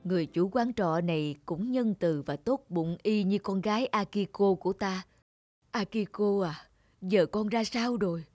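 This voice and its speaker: A woman voicing a broken, sorrowful old father full of remorse in an animated folktale, with music behind. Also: mid-range voice, normal speed, no echo, natural voice. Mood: sad, yearning. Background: background music.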